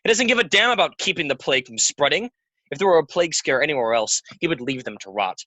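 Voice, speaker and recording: A man's voice: on the high side, lively intonation, quick pace, bright, forceful tone, no room sound. A man acting a restless, defiant theatre player in an audio play, agitated, bitter and argumentative. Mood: scornful. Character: restless, defiant, hot-tempered young male theatre player.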